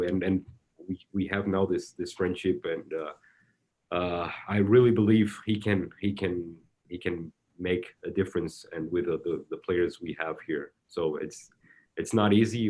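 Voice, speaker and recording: A man whose first language is Portuguese speaks English with a Brazilian accent, his voice sitting very low. His delivery stops and restarts: he repeats small words again and again before the thought comes out. It sounds like relaxed interview talk from a soccer executive. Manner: halting, repeats words often while searching for them. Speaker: soccer technical director. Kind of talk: casual interview answers.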